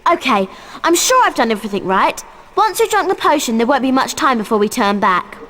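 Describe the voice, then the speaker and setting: A clever, bossy young witch, high and expressive, earnest in her precise instructions. It is game dialogue in a long reverb with music behind. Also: brisk, exaggerated voice. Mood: brisk, determined. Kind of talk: video game voice acting.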